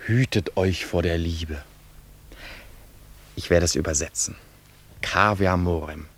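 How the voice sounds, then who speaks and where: An actor playing a pompous, earnest and easily affronted young admirer in a theatrical, florid German radio drama style. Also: male voice, low voice, slow, breathy edge, heavily acted. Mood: scornful musing. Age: young adult.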